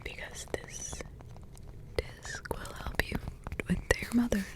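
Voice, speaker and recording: Woman whispering as a witch grandmother. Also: lightly acted. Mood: hushed, caring. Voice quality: soft unvoiced whisper. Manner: slow, repetitive, rambling asides. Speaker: ASMR creator. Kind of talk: ASMR roleplay.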